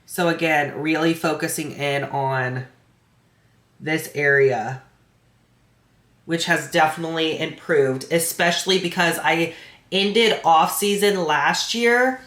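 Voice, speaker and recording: A woman's voice: low-pitched, slow pace, fairly bright tone. Stuffy-nosed woman vlogging casually. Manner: chatty, many "like" and "um" fillers. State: stuffy, congested nose. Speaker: bikini competitor and coach. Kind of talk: casual vlog recap to camera. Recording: echoey room.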